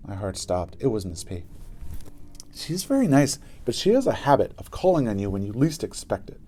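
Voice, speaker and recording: Animated low-voiced man reading a storybook. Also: moderate pace. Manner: animated, dramatic emphasis. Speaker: storybook reader. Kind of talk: expressive children's read-aloud narration.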